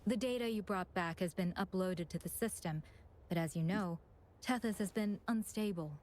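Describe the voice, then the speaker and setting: Woman acting a polite game admin lady, composed and businesslike, mid pitched voice. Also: moderate pace, darkish tone, close to an everyday voice. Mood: composed, analytical. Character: composed, courteous female chief administrator of secret organization.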